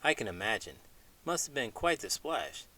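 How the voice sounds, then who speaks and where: A man's voice: medium pitch, sing-song, moderate pace, pushed and bright. A middle-aged man hosting children's read-alouds, speaking expressively with dramatic, playful emphasis. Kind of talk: expressive storybook reading and scripted puppet banter.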